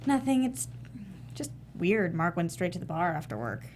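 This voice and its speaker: An actress reading a frank, caring wife in a script table read, wry and direct. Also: female voice, fairly low pitch, sing-song, normal speed, a little mellow, slight background noise. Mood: earnest.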